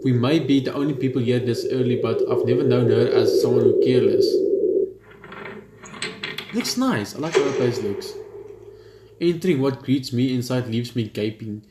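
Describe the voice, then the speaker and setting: A young man hosting a gaming video, reading game text aloud haltingly with casual commentary. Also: mid-range voice. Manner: halting when reading, stumbles over words. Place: indoor room at home. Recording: roomy echo, noticeable background noise.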